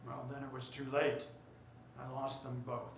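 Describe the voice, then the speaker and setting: A man's voice: medium pitch, completely flat delivery, normal speed, lightly acted. A man playing a sentimental, self-important store heir in a 1940s-style radio drama, speaking formally and theatrically. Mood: wistful, confiding. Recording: roomy theater stage, faint noise.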